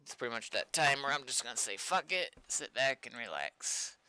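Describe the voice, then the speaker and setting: A man's voice: mid-range voice, animated, moderate pace, bright, forceful tone, smooth, dry recording. A man giving casual, blunt livestream commentary with swearing while he works at a computer as a game server developer. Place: indoors at a computer.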